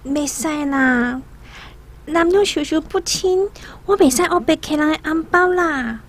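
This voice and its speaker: A woman's voice: high voice, a little slow. A woman with a Taiwanese accent playing a shy, sweet young opera actress in a radio drama. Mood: bashful. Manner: bashful, girlish.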